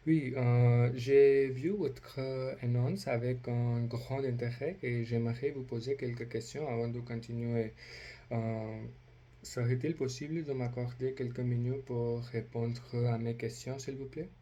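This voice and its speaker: Halting male language learner, flat and subdued. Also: medium pitch, slight background noise. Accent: non-native. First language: not French. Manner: halting, hesitant, polite, many filled pauses. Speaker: language learner practising for an oral exam. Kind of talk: role-play phone inquiry practice, semi-rehearsed.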